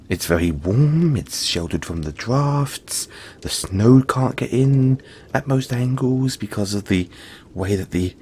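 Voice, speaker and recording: A man playing a huge, gentle, cuddly yeti boy in an intimate audio roleplay, talking politely and haltingly to reassure the listener. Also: medium pitch, a little hiss or hum. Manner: soft-spoken reassurance, halting, polite and chatty.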